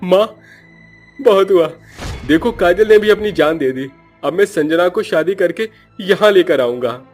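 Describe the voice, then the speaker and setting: A man's voice: high-pitched, lively intonation, a little slow, a little mellow, soft background music, voice pushed up. A man voicing a selfish, hot-headed young son and bridegroom in a melodramatic, emphatic way for a cartoon story. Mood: excited, pleased.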